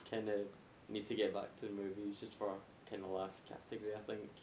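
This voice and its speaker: A man's voice: on the low side, completely flat delivery, a little fast, a little mellow, echoey room, slight background noise. A man hosting a casual group chat, recorded in amateur podcast quality. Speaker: podcast host.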